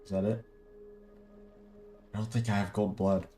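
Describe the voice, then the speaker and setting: A woman's voice: extremely low pitch, little pitch movement, moderate pace, dark tone, faint music behind. A woman streamer giving casual, playful gameplay commentary with a joking manner. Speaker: game streamer.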